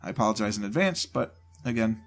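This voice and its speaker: A man's voice: on the low side, lively intonation, quick pace. A man with a cold and a stuffed-up voice, rambling casually through a channel update from his home desk mic. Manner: rambling, loose, self-interrupting. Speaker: gaming channel host. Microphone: home desk mic, voice only.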